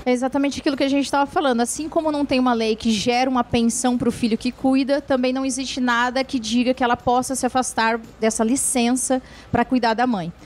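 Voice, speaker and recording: A woman's voice: sits high, fairly even intonation, quick pace, few pauses, faint music behind. A woman lawyer answering viewer questions on a TV show, explaining patiently with frequent tag questions and restarts. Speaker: lawyer, guest expert.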